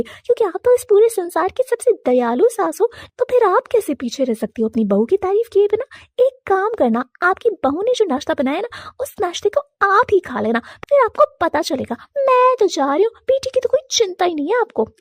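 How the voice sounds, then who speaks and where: Cartoon: woman doing a snooty young sparrow sister-in-law, shrill, lively, snappy. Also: no room sound, clean studio sound.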